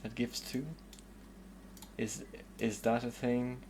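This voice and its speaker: A man's voice: medium pitch, flat delivery, takes it slowly, a little mellow, slight background noise. A man from the southern suburbs of Paris with a French accent, casually commenting while playing a game and thinking aloud with lots of hesitant fillers. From southern suburbs of Paris, France. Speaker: streamer.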